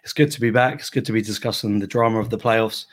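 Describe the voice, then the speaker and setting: A man with a croaky voice hosting a casual football podcast and greeting listeners. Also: lowish voice, fast talker. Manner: chatty, reads out listener greetings. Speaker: podcast host.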